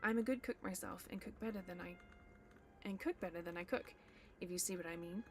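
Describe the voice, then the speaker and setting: A woman's voice: on the low side, very slow, a bit bright, slight background noise, full character voice. A woman voicing a small, frightened male hobbit who stammers and pleads politely. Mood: pleading.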